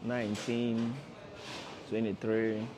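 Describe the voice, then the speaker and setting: A man in his early thirties talks to a livestream audience in a low voice, coaching them and repeating his points to drive them home. A busy crowd murmurs behind him. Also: completely flat delivery, slow. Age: 30s. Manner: repetitive, coaxing, addresses viewers directly. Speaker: forex trader hosting a livestream. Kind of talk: casual motivational livestream talk.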